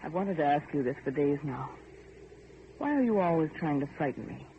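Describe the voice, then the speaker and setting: An actress playing an earnest, anguished heiress in an old-time radio drama, with an emotional, theatrical delivery. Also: female voice, low-pitched, sing-song, fairly quick. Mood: uneasy. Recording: tinny, faintly noisy vintage radio recording.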